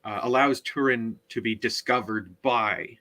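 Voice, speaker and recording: A man recapping a story in a casual book discussion, often halting as he searches for words. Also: medium pitch, bright, forceful tone. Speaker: podcast co-host.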